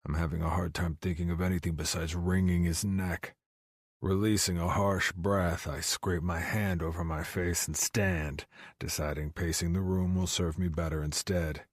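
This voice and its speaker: A man's voice: low-pitched, level tone, normal speed, slightly rough, no echo, clean studio sound. A man playing a driven, hot-tempered finance executive in first-person audiobook narration, intense and blunt. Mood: angry, restless.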